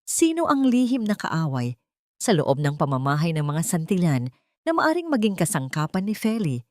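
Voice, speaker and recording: A woman narrating a scripted audiobook drama in a dramatic, unhurried storytelling manner. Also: on the low side, big pitch swings, a little mellow, no echo, studio quality.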